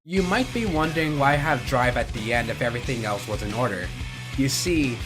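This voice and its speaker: A man's voice: medium pitch, animated, a little fast, over music. A man giving casual commentary as the host of a countdown video.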